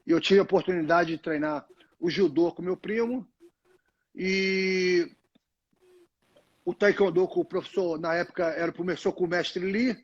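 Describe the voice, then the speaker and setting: A man's voice: high-pitched, fairly even intonation, moderate pace, long pauses. An older man from Brazil, telling long stories in a casual live interview, full of restarts and "né?" tags, speaking into a phone on a tripod. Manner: rambling storyteller, many restarts and "né?" tags. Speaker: guest, retired fighter. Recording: dull lo-fi phone audio.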